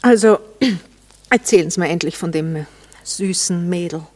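A woman's voice: on the low side, moderate pace, darkish tone, close to an everyday voice. An elegant lady of society, played by a woman in a classic radio drama. She speaks with a slight colour. Her manner is mocking and coquettish, and she pries with condescending curiosity. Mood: piqued, probing. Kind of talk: classic German radio play acting.

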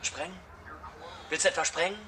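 An actor playing a controlling heist ringleader in a radio drama, by turns soothing and firm. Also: male voice, fairly high pitch, moderate pace, pushed and bright, distant mic. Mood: firm, insistent. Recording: clearly noisy background with clicks.

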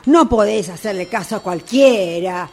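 An actress with an Argentine Rioplatense accent playing a patronising, easily exasperated fortune-teller in a radio play, with emphatic, repetitive replies. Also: female voice, mid-range voice, lively intonation, measured pace. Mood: attentive, reacting. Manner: theatrical, reactive, emphatic repetition.

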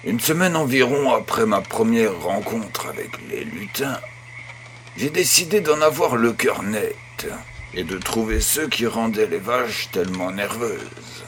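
A man's voice: lowish voice, lively intonation, a little slow, a crowd in the background. An actor playing an affectionate old farmer grandfather, patient and encouraging, in a French audio drama. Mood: storytelling.

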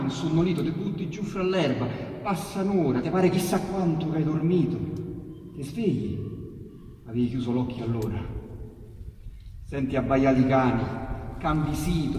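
A man's voice: on the high side, a little mellow, slight background noise. Echoey Roman man reciting verse. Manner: dramatic, with pauses and emphatic repetitions. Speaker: actor reciting dialect poetry. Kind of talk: theatrical storytelling recitation of verse. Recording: echoey hall, distant mic, piano accompaniment. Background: piano music.

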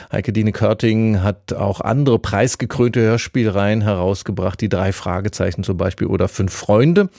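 A man's voice: lowish voice, creaky, mellow, no echo, clean studio sound. A man presenting a scripted introduction to a radio feature.

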